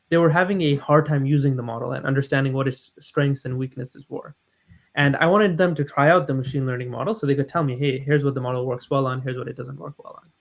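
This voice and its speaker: A man in his thirties who grew up in the United States, a guest telling his story in a relaxed live stream interview with frequent fillers. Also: medium pitch. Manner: frequent filler sounds, thoughtful and modest. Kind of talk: conversational interview.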